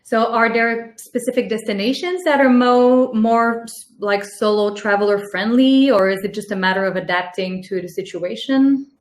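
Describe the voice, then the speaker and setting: A woman hosting a webinar, presenting and asking interview questions in a friendly, measured way with slightly phrasing. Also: a little high. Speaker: webinar host, foundation coordinator. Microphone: video call. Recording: echoey room on a video call.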